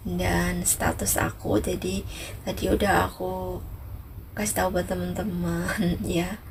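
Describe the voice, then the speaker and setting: A woman's voice: fairly low pitch, level tone, measured pace, creaky. A woman from East Java chats casually to viewers in a repetitive way, often adding small tags that seek agreement. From Ngawi, East Java, Indonesia. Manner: repetitive, frequent softening tags seeking agreement. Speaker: vlogger, migrant worker. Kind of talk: casual vlog chat to viewers. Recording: distant mic, noisy ambient background.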